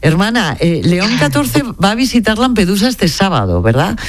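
A woman anchoring a radio news bulletin, reading in a measured, formal way. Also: mid-range voice, sing-song.

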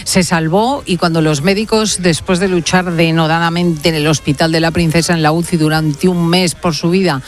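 A woman's voice: lowish voice, fairly even intonation, normal speed, hardly any pauses. A woman hosting a radio talk show, speaking in an emphatic, heartfelt way from the studio. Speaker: radio host.

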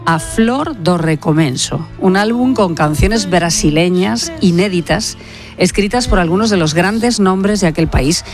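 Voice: medium pitch, animated, a little slow. Upbeat radio host over music. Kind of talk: radio presenting.

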